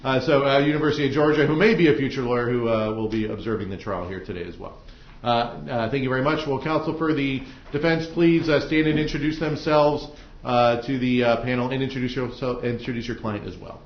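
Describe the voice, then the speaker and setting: A man's voice: mid-range voice, steady tone, a little fast. An American man presiding as judge, giving semi-formal instructions to jurors with frequent ums and uhs. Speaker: judge presiding over jury selection. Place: indoor courtroom. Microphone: room microphones in the ceiling. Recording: dull, echoey courtroom microphone pickup.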